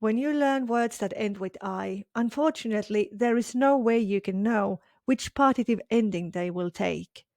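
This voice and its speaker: A woman narrates a scripted language lesson, saying each example word twice, slowly and deliberately. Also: on the high side, vocal fry, darkish tone, no room sound. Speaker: language teacher.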